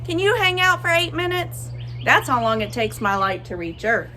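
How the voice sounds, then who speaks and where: A woman voicing a boastful, playful Sun from a picture book, theatrical and bragging with drawn-out emphasis. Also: high-pitched, sing-song, sharp tone, slight background noise, pitched above the performer's own voice, heavily acted. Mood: playful, proud. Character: boastful, playful Sun, a cheerful showboating star. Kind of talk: expressive picture-book read-aloud.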